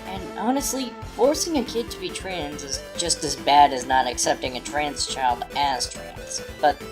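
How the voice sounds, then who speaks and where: A woman's voice: low voice, a little slow, music in the background. A woman speaking as a guest commentator in a video, giving scripted commentary.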